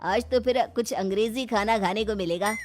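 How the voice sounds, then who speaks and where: A woman's voice: medium pitch, normal speed, darkish tone, faint music behind, natural voice. A woman voicing an older, mildly teasing father-in-law in a cartoon family story. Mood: cheerful, teasing.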